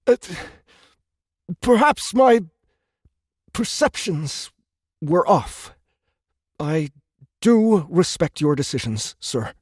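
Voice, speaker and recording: A very high-voiced young alien commander, haughty yet insecure. He speaks with indignant, officious insistence in a science fiction audiobook, in a dry, close recording. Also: sing-song, extremely slow, long pauses, a little mellow, breathy edge, no room sound, heavily acted. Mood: flustered, defensive. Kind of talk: audiobook character acting.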